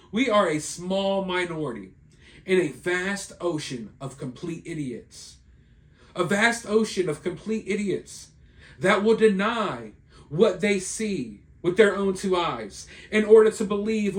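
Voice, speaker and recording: Hoarse, high-voiced man ranting. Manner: indignant, ranting, emphatic. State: hoarse, losing his voice; tired. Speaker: online video commentator. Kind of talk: solo commentary vlog.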